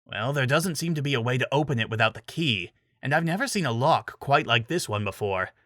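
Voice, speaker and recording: A man's voice: medium pitch, animated, a little fast, a bit bright, no echo, close to an everyday voice. A man playing a grumpy, sarcastic wizard with a dry manner in a fantasy story reading. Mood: resigned. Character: grumpy, sarcastic robed male wizard companion.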